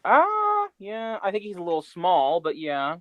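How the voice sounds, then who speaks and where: Animated male podcaster, opinionated and chatty, close dry sound. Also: sits high, unhurried, no echo, narrow, tinny audio. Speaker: podcast co-host. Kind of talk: casual, opinionated banter.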